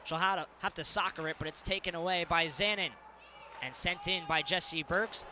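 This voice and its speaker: Casting: young male sports broadcaster, early 20s, high voice, some background noise. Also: moderate pace. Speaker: sports play-by-play broadcaster and reporter. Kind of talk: hockey play-by-play commentary and scripted sports news narration.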